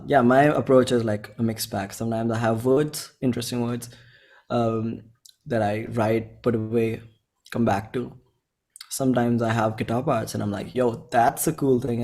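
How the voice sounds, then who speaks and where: A man's voice: mid-range voice, a little mellow. A man, a musician talking informally and thinking aloud in an interview, often saying "like". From India. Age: 20s. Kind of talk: casual interview chat.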